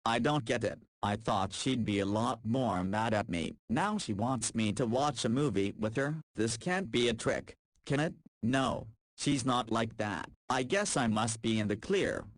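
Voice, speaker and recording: A man's voice: mid-range voice, lively intonation, a little slow, few pauses, no echo, close to an everyday voice. A man voicing a joking teenage basketball player. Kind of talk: voiced visual novel dialogue.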